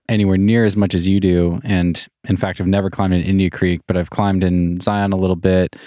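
A man hosting a podcast, relaxed and friendly, chatting casually with his guest. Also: low voice, dry recording, clean studio sound. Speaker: podcast host. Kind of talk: casual interview chat.

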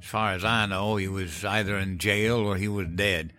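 A man voicing a gruff, cynical old country man with a folksy drawl, telling of hard times in a horror story. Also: fairly low pitch, steady tone, normal speed, pushed and bright, soft background music. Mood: grim, dismissive. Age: older. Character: cynical old country man recounting grim Depression-era hardship. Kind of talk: dramatized horror story narration.

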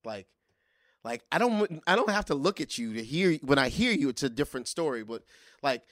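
Halting, reflective man in a dry studio. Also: medium pitch, no room sound. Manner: halting, restarts often, animated when recalling singing. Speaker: guest singer. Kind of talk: casual interview conversation.

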